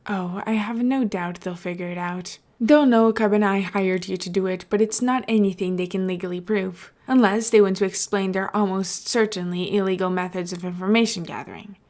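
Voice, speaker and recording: A woman reading the part of a calculating male mayor and would-be villain in a story, persuasive, measured and businesslike. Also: mid-range voice, fairly even intonation, a little mellow, lightly acted.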